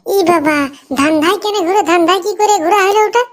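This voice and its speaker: A woman's voice: extremely high pitch, fast talker. A woman voicing a nosy, teasing groom's sidekick in a comedy cartoon, chatty and questioning. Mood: teasing, curious.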